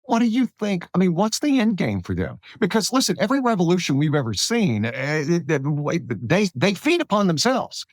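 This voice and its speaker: Man, podcast host, slightly high voice, very lively intonation, casual rambling questions. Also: normal speed, few pauses, no echo. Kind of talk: casual conversational interviewing.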